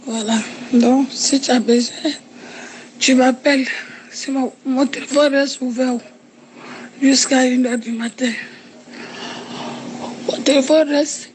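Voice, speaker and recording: A woman with a cold leaves a chatty voice message, explaining herself. Her voice stays flat and even, with a faint hiss and room sound behind it. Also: high voice, takes it slowly, long pauses, poor audio. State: has a cold.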